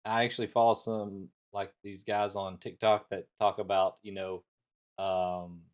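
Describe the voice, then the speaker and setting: A man with a fairly low voice in a casual talk-show chat. He co-hosts and feels his way through sentences, halting to find the right word. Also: flat delivery. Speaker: podcast co-host.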